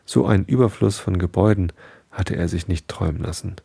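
A man with a nasal head cold reads a bedtime story in a calm, unhurried way. His voice is very low and dark, with a husky, gravelly grain. The close podcast mic gives a dry, intimate sound. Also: dry recording. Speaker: podcast host.

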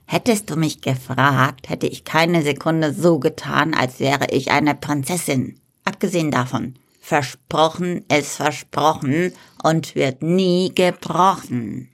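A man's voice: on the high side, fairly bright tone, pitched above the performer's own voice. A man doing a cheeky, drawling female frog. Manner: drawling, teasing, unhurried. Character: cheeky sarcastic female frog, calm and dry. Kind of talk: storybook reading.

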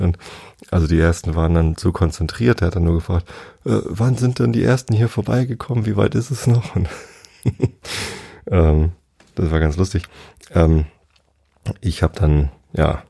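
A man of forty-five telling a travel story casually, in a relaxed, rambling way and often pausing to search for words. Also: deep voice, gravelly, slightly rough.

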